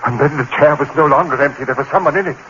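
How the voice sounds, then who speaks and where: A man narrating a radio horror drama in the first person, frantic and haunted, with sudden jumps into high pitch. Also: racing, a bit bright. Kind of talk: 1930s radio horror acting. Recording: dull old radio broadcast with faint hiss.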